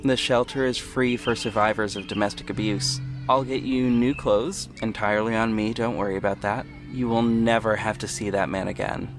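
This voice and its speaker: A man playing a protective, self-assured ex-cop detective with a measured, firm delivery in an audio drama. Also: lowish voice, steady tone, natural voice. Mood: reassuring. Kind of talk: first-person audio drama narration and dialogue. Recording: music bed behind the voice. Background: music.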